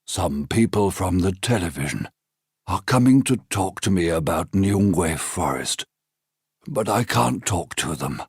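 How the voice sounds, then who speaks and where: A man's voice: expressive, a little slow, slightly rough, no room sound, clean studio sound, exaggerated voice. A man doing a weak, sick old king. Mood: weak, ailing. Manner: feeble, low and quiet.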